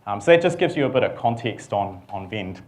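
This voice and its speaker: A middle-aged man with a New Zealand accent, a company CEO giving a relaxed conference presentation with dry humor and frequent ums. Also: fairly low pitch, brisk.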